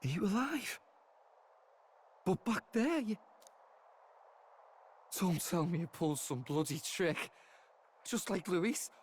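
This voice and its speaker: A man playing a grieving, rough-spoken younger brother in a video game, halting and vulnerable. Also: high voice, big pitch swings, heavily acted. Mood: shocked disbelief. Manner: halting, stammering, emotional.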